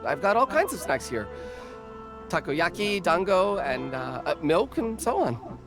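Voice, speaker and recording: A nervous young market vendor stammers through her pitch. Her voice sits low for a woman yet swings lively in pitch. Game music plays clearly in the background. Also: measured pace. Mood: eager. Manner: flustered, stammering. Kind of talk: video game voice acting.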